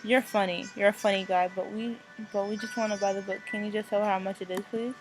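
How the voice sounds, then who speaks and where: A woman's voice: mid-range voice, fairly even intonation, quick pace, natural voice. A woman voicing a volatile, protective husband in a visual novel, blunt and confrontational. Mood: strained. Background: music.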